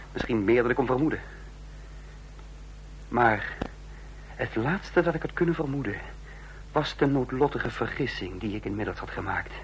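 An actor playing a cold, calculating intelligence chief who speaks formally and deliberately in a radio drama. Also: male voice, medium pitch, fairly bright tone, low-quality recording. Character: cold calculating intelligence chief, narrating his own downfall. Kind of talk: radio drama acting and first-person narration. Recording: vintage radio play with faint hum.

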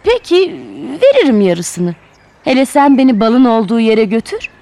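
A woman's voice: on the high side, huge pitch swings, moderate pace, warm tone. A woman voicing an aging, weakened, greedy wolf in a children's story, blunt and eager. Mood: hesitant, eager.

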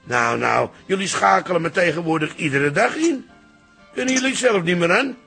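Creaky, high-voiced old father. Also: animated, moderate pace, pushed and bright, faint music behind, full character voice. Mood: grumbling. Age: older. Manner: blustering, exclaiming. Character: excitable old father, grumbling yet soft-hearted. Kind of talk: Dutch radio play acting.

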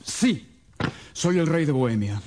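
A man's voice: fairly high pitch, expressive, measured pace, mellow. An actor playing a tall, haughty king in a radio drama, speaking in a formal, grave and stately manner. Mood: imperious.

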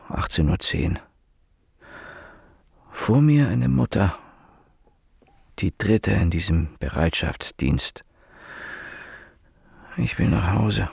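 A weary police inspector in a radio drama, deadpan and dismissive. His low, gravelly voice swings widely between brusque questions and brooding inner monologue. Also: dry recording, clean studio sound, heavily acted. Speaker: actor playing the police inspector and narrator.

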